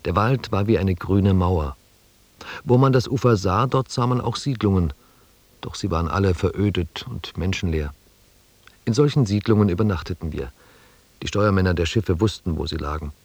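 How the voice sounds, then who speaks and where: An actor playing a reflective expedition scribe who narrates a radio drama in a measured, restrained and quietly melancholy way. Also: male voice, sits low, dry recording. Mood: sober narrating. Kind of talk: scripted first-person narration in a German radio drama.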